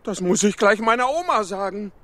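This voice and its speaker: A naive, blunt castle soldier for a children's radio play. The voice is very high and forceful, swinging widely in pitch. He comes across as simple-minded and eager. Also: animated, fairly quick. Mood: puzzled, naive.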